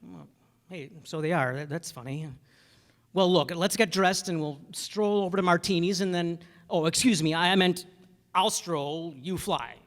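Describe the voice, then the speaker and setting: A man playing a despairing, skeptical small-town family man in a radio drama, with halting, folksy, repetitive speech. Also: a little high, lightly acted. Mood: bewildered, flustered. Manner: halting, stammering drawl, folksy, repeats himself. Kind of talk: old-time radio drama acting. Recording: echoey stage hall, long reverb.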